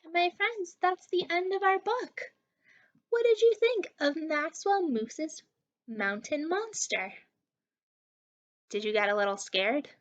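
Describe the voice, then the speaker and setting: A woman leading story time and a lesson for young children, encouraging and exaggerated, pausing as if for their answers. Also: extremely high pitch, animated. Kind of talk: read-aloud and interactive lesson for young children. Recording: dull, distant microphone sound.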